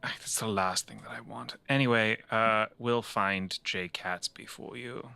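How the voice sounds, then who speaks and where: Man playing a slow, gravelly, sheepish fugitive. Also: on the low side, fairly even intonation, bright, forceful tone, dry recording, full character voice. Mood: weary, embarrassed. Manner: halting, hesitant. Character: awkward, sheepish fugitive adventurer, weary and self-deprecating.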